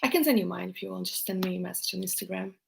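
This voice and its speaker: Russian-accented woman, gravelly, casual. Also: on the low side, very fast, a little hiss or hum. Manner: hesitant, many fillers and restarts. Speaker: YouTuber answering viewer questions. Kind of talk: casual livestream chat.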